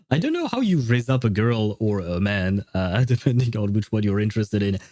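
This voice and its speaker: A man's voice: on the low side, big pitch swings, normal speed, dark tone, no room sound, clean studio sound. A man streamer chatting casually with viewers in a rambling, hesitant way. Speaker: livestreamer. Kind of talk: casual livestream chat, replying to viewers.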